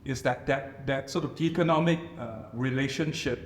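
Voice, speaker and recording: A man's voice: medium pitch, moderate pace, slight background noise. Man musing in a roomy hall. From Singapore. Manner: thoughtful, restarts phrases, many "you know"s. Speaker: panel speaker. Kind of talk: reflective panel discussion. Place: reception room at a residence. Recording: roomy live panel audio.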